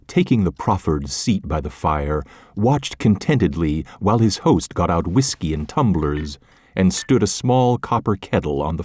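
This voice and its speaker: Low deep guy narrating an old story, bookish script, super dry close sound. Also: darkish tone, no echo.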